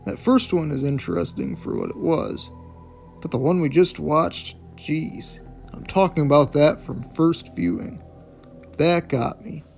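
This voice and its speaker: Creaky-voiced man giving casual horror commentary. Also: a little high, sing-song, unhurried, a little mellow, faint music behind. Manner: informal, profane, opinionated. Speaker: host of a video series reviewing analog horror. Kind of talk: casual video essay commentary.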